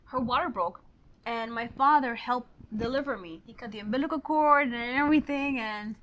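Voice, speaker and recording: A woman in her forties from Honduras, raised in Miami, talks as an easygoing interview guest who laughs often. Her voice sits fairly high, and the recording is slightly dull and lo-fi. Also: moderate pace. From Honduras, grew up in Miami. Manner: warm, laughs often, some fillers. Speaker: guest, real estate agent. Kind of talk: casual interview chat.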